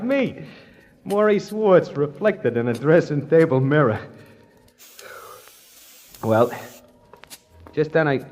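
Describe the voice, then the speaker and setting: A man's voice: mid-range voice, darkish tone. An actor playing a brash, jumpy small-time hustler who narrates his own story in wry, colloquial 1940s radio suspense style. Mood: startled, tense. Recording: vintage radio broadcast with music.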